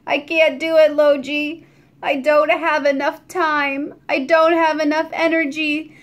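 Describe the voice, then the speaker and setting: A worn-out storybook landlady groans and frets in a high, strained voice, as a woman reader puts her on. She sounds exasperated and bone tired, but kindly underneath. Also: measured pace, a bit bright. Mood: tearful.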